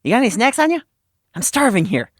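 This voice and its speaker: A man's voice: very high voice, sing-song, fast, a bit bright, no echo, clean studio sound, heavily acted. A man playing a dramatic, cocky, wisecracking prisoner in a comic sci-fi audio drama, whining flippantly. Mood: whiny, bored. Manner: theatrical, whiny, flippant. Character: dramatic, cocky, wisecracking space prisoner and self-styled medic.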